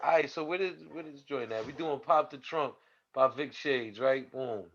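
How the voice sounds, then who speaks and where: A man's voice: medium pitch, normal speed, a bit bright, lo-fi sound. A man hosting a casual show in a laid-back way, lining up songs and hyping the show. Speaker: host.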